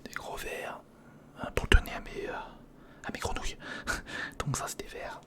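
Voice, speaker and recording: A person whispering informal ASMR commentary in short step-by-step remarks while building, speaking directly to the viewer. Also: unhurried. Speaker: ASMR video creator and host.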